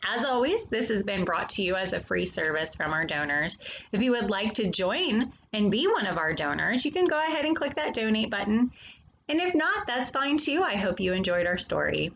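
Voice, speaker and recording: A woman reading a children's nonfiction story aloud, addressing young listeners directly with questions and pauses. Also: medium pitch, sing-song, a bit bright, a little hiss or hum.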